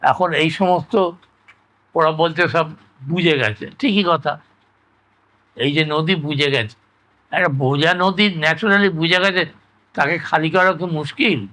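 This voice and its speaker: Older Bengali man, bright higher-pitched voice. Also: low-quality recording. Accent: West Bengal Bengali. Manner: halting, repetitive explaining with frequent tag words. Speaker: interviewee recounting his experience. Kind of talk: conversational oral-history reminiscence.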